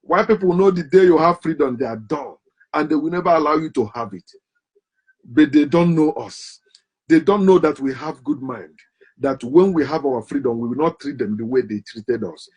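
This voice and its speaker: A man giving a forceful, preaching monologue full of rhetorical questions, his loudness rising and falling sharply. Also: mid-range voice, moderate pace, slightly rough, poor audio. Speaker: commentator.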